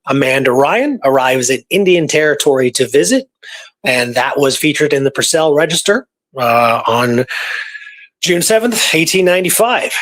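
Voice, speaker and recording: A man hosting a history podcast, conversational and speculative, reading from old records and often checking in with his listener. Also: a little high. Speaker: podcast host presenting historical research.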